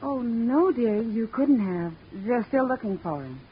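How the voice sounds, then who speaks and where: High-voiced doting sweetheart, old radio. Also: female voice, expressive, measured pace, lo-fi sound. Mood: soothing. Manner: tender, coaxing reassurance. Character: doting, soothing sweetheart at a hospital bedside. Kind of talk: 1940s radio drama acting. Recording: thin, hissy vintage radio recording.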